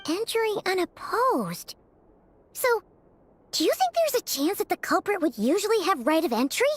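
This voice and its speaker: Squeaky, excitable tiny fairy girl. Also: moderate pace, a little hiss or hum. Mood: puzzled. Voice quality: squeaky, childlike. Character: tiny floating fairy companion girl, excitable, childlike, outspoken. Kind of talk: video game voice acting.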